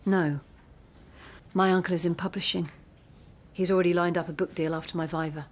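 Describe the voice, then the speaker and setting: An actress playing a driven, privileged young postgraduate who argues insistently and articulately in a radio drama. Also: female voice, on the low side, fairly even intonation, brisk, close to an everyday voice. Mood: resolute. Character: earnest, driven, privileged female postgraduate student.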